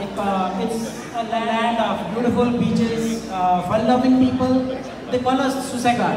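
A man's voice: very high-pitched, steady tone, unhurried. A young man from Goa with a Goan Indian English accent, doing live stand-up comedy in a chatty storytelling way, often checking in with the crowd. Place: large community hall stage. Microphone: handheld microphone through hall speakers. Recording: echoey hall, taken from far off with crowd. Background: audience laughing and cheering.

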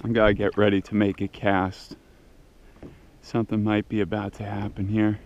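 A man in his thirties giving quiet, low-key running commentary while fishing from a kayak on open sea, recorded on an action camera. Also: monotone, normal speed, lo-fi sound, a little hiss or hum. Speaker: fishing vlogger. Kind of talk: casual first-person narration while fishing. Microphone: action camera mounted on the kayak.

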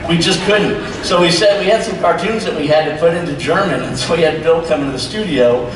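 A man's voice: on the high side, a little fast, background noise. A man moderating a stage panel, asking the guests questions in an easy, friendly way. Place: large hall on stage. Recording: echoey hall with long reverb, from far off.

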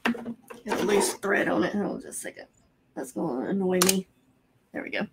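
A woman in her thirties co-hosting a live online clothing auction from a cluttered room at home, calling bids briskly and describing items plainly, recorded on a webcam at her desk. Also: medium pitch, animated, creaky, heavy background noise.

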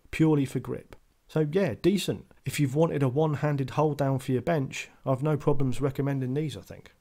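A man's voice: fairly high pitch, dark tone. A man giving a scripted voice-over tool review, matter-of-fact with dry, understated asides.

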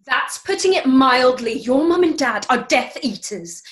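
Bossy british schoolgirl witch, highish voice, blunt and fierce, play reading. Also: animated, measured pace, echoey room. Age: young adult. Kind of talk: theatrical table read. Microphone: video call.

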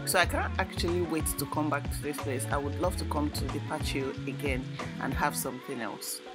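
A woman's voice: low-pitched, steady tone, a little fast. A woman with a Nigerian accent, chatting informally as she narrates a casual vlog. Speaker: vlogger, host. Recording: loud background music. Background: music.

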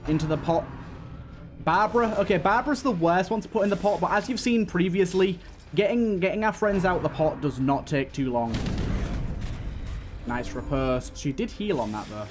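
Higher-pitched man commentating on a game. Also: moderate pace, slight background noise. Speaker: gaming video host. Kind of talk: let's play game commentary. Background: game music.

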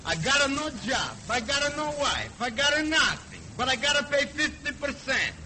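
Shrill Italian bachelor, exasperated. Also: male voice, sing-song, moderate pace, sharp tone, full character voice. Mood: comic grievance. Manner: broken English, punchline patter. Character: exasperated broke Italian bachelor, comic complainer. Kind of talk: 1930s radio comedy acting. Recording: old radio recording, faint noise.

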